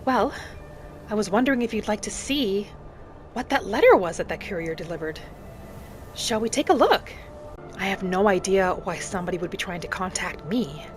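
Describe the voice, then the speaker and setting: A woman voicing a polite, curious female wood elf traveler, musing aloud in gentle, slightly formal game roleplay. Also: mid-range voice, animated, moderate pace, a little hiss or hum, close to an everyday voice. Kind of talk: in-character game roleplay commentary. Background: faint music.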